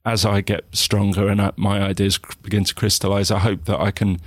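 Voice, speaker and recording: A man with a southern English accent, a guest in an interview, talking reflectively with many pauses, restarts and "you know"s. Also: fairly low pitch, flat delivery, no echo, clean studio sound. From England.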